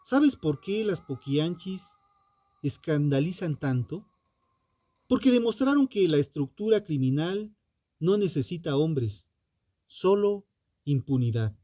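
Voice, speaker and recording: A man narrating a scripted true crime podcast in a dramatic, solemn and deliberate manner, with ominous rhetorical questions. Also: on the high side, sing-song, dark tone, dry recording, clean studio sound.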